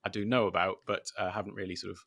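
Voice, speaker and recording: A man's voice: sits low, flat delivery, racing, a bit bright, dry recording. A British man from the UK, a guest talking loosely and unscripted over a video call, with many filler words. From United Kingdom. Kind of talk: casual livestream interview. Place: at home in Thailand.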